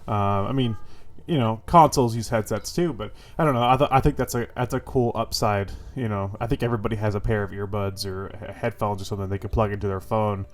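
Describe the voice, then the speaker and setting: A man's voice: fairly low pitch, steady tone, fast. A man with a stuffy, congested voice giving casual, rambling impressions of a game as host of his gaming channel, full of fillers and the odd swear. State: congested from a cold.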